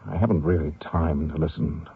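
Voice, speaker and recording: An actor playing a haunted American fugitive, grave, earnest and defensive, in an old radio drama. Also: male voice, rumbling low, fairly even intonation, fairly quick, gravelly, husky, narrow, tinny audio, full character voice. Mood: guarded. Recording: thin dry old radio recording.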